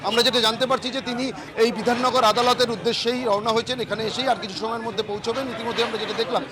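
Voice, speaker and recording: A man's voice: extremely high pitch, fairly even intonation, rapid-fire. A man reporting live outdoors, with street and crowd noise around him. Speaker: field news reporter. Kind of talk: live on-location reporting and interviewing. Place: outdoors outside a city court.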